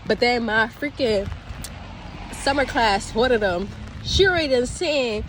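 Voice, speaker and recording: A woman's voice: on the high side, expressive. Young woman, congested, casual phone vlog, exasperated chatter, city traffic noise behind. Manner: chatty, exasperated, drawn-out complaints. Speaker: vlogger. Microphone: phone camera.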